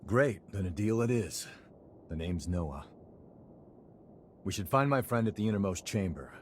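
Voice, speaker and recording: Brash young male game hero: lowish, very animated, casual and cocky, faint noise behind. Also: a little slow. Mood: friendly, cocky. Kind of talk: video game cutscene acting.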